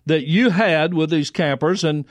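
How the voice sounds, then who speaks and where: A man hosting a program, talking in a measured, thoughtful way with some hesitant fillers. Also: fairly high pitch, dry recording. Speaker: host.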